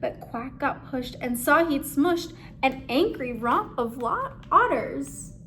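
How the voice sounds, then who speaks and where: A woman with a very high voice reads a children's story aloud. Her delivery is slow, expressive and dramatically phrased. There is faint noise in the background. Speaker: story reader. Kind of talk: expressive picture-book read-aloud.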